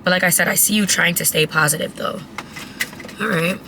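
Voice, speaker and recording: A woman who has lost her voice, raspy and cracking, giving a chatty, blunt tarot reading to her viewers. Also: fairly low pitch, fairly even intonation, brisk, noisy background. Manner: chatty, blunt, swears casually. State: hoarse, voice cracking. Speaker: tarot reader. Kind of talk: casual tarot reading to camera.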